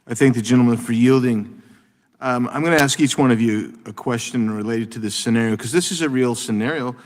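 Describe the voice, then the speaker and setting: A congressman questioning witnesses at a committee hearing, talking in halting bursts with many restarts and fillers. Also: mid-range voice. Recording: long reverb of a hearing room.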